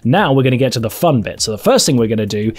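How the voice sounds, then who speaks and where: A man's voice: mid-range voice, lively intonation, fast, a little mellow. A man in his twenties narrating an instructional video, explaining each step directly to viewers. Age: 20s. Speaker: audio tutorial presenter.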